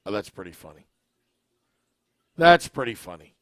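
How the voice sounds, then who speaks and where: A man's voice: lowish voice, no echo, studio quality. A man hosting a live-streamed podcast, talking with a scratchy, worn voice, chatting and reading viewer comments. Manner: relaxed, unhurried, asks the audience questions. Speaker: podcast host.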